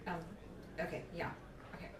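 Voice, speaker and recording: A woman acting a quick-thinking young woman who reasons aloud in a naturalistic audio drama. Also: close to an everyday voice. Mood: analytical. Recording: roomy, distant, crowd murmur behind.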